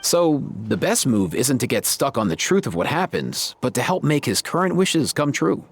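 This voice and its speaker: Chill young beast-tamer, slangy, lively intonation, game cutscene. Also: male voice, medium pitch, normal speed, husky. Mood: easygoing. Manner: laid-back, slangy.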